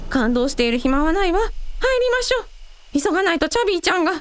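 A woman playing a brisk, protective member of an adventuring family in a radio drama. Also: extremely high pitch, very animated. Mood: firm.

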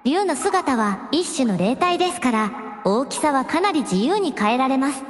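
A woman's voice: high-pitched, big pitch swings, normal speed. A woman playing a polite, resolute princess who can become a dragon, speaking in very formal, refined language in a radio drama. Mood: composed, confident. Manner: refined, very formal ladylike speech. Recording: echoing hall reverb, faint music behind.

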